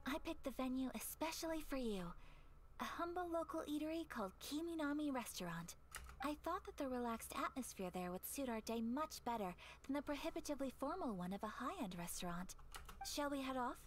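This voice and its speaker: A woman's voice: high voice, expressive, a little fast, slight background noise. A woman playing a polite, refined young noblewoman in a video game, speaking in a formal, gracious and reserved manner. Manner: formal, courteous, elegant.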